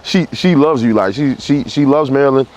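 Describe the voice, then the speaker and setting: A man's voice: mid-range voice, normal speed, darkish tone, a little hiss or hum. A man, a parent and guest, talking casually and easygoingly with many affirming fillers. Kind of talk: casual conversation.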